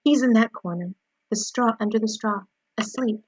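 A woman voicing a calm, dying female spider in a storybook, low, weak and gently firm. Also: moderate pace, husky, dry recording, rough recording. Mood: quiet.

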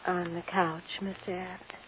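A woman's voice: fairly low pitch, flat delivery, normal speed, lightly acted. Muffled, hesitant radio drama woman played by an actress. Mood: soft, obliging. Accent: American. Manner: hesitant, murmuring, short replies. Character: guarded, soft-spoken American mother humoring a suitor. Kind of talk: old-time radio drama acting. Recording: muffled, hissy old radio recording.

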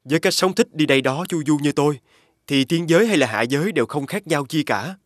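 An actor playing a polite, poetic, homesick young scholar in an animated fairy tale. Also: male voice, a little high, fairly quick, dry recording, studio quality, natural voice. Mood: respectful. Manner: polite, formal.

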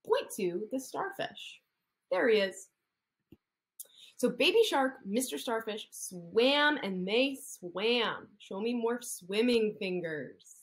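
A woman's voice: a little high, big pitch swings, slow. A woman in her thirties, an occupational therapist, reading a picture story aloud to young children and coaxing them to copy hand gestures, recorded indoors on a laptop webcam. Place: indoor room.

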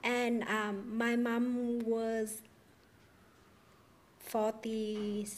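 A woman in her forties from Vietnam, telling her own story as a panel guest, careful and measured with hesitant fillers. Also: a little high, little pitch movement, a little hiss or hum. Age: 40s. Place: studio stage with a panel seated in chairs. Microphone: handheld microphone.

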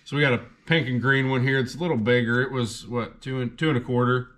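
A man's voice: medium pitch, steady tone, quick pace, fairly bright tone. A young man hosting a fishing channel, chatting casually and rambling a bit as he shows off gear. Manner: laid-back, rambling with fillers. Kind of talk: casual haul video chat.

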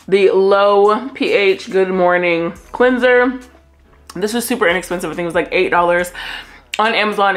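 A young woman in her twenties chats through a haul in a hotel room at night, and her voice sits a little low. She talks loosely, with plenty of fillers, and keeps calling her viewers y'all. The camera sits close in front of her on the desk. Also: fairly bright tone. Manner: chatty, filler-heavy, addresses viewers as y'all. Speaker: vlogger. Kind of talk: casual haul chat to camera.

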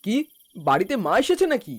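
A man's voice: high voice, wildly swooping pitch, fairly quick, husky, heavily acted. A man playing a mild-mannered grown son and husband in a cartoon family story. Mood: friendly. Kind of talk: cartoon voice acting. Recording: music behind the voice.

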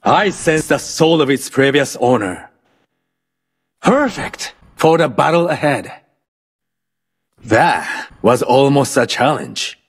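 Husky, solemn shinobi exorcist. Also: male voice, medium pitch, big pitch swings, full character voice. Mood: solemn, resolute. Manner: grave, measured, intense. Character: solemn male shinobi exorcist battling his inner demon. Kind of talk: video game character voice lines.

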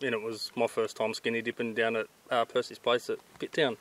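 A man's voice: mid-range voice, level tone, fairly quick, a bit bright. A middle-aged Australian man hosting a casual outdoor vlog interview in an informal, matey way. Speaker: channel host interviewing. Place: outdoors at a garden table in bushland.

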